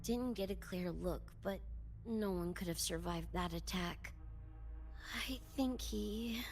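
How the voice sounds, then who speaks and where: A woman's voice: on the low side, takes it slowly. A woman voicing a brash, foul-mouthed young female mercenary in a video game, speaking in slangy street talk. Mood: subdued, grieving. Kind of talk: English video game voice acting. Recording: loud background music.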